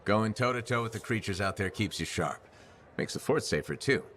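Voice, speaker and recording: An actor voicing a plain-spoken, curious freelancer hero with a dry, conversational manner in a video game. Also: male voice, on the low side, expressive, moderate pace, fairly bright tone, slight background noise. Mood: friendly. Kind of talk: video game dialogue acting.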